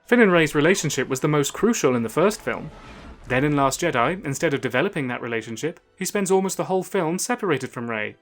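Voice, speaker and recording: A man hosting a scripted video essay, narrating in a measured, analytical and argumentative way. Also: fairly high pitch, animated, a bit bright, faint music behind.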